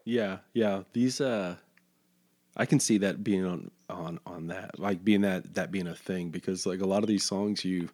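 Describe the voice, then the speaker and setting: A man's voice: deep voice, a little slow, a little mellow. A man hosting a podcast interview, asking rambling questions full of hesitations. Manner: hesitant, many fillers and restarts, rambling questions. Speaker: podcast host. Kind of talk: casual interview chat.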